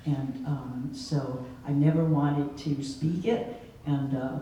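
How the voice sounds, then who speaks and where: Mellow woman speaker in an echoey hall. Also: extremely low pitch, fairly even intonation, takes it slowly. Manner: reflective, conversational, storytelling with laughs. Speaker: keynote speaker. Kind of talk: answering an audience question. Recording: echoey hall from far off, faint noise.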